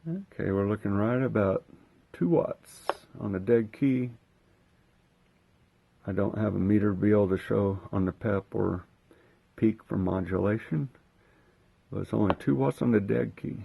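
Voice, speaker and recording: A man giving a casual hands-on demonstration, thinking aloud in an unhurried way as he handles the gear. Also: fairly low pitch, creaky, breathy edge, phone-quality sound. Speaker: host of a gear unboxing and testing video.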